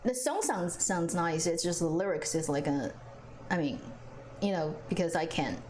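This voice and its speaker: A woman's voice: lowish voice, animated, normal speed, a little mellow, slight background noise, low-quality recording. A woman whose first language is Chinese, chatting casually on a live stream and answering viewers. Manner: chatty, frequent restarts, laughs often.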